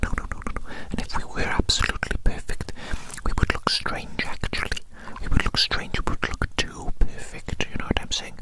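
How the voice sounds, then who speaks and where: A man whispering without voice in a gentle, soothing ASMR roleplay, softly repeating words and phrases. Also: brisk, hardly any pauses, poor audio. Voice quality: unvoiced whisper.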